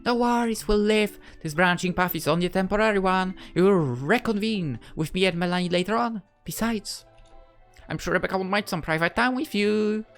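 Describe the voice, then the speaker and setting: A woman's voice: mid-range voice, moderate pace, faint music behind, natural voice. A woman voicing a composed, confident, teasing schoolgirl in a visual novel game.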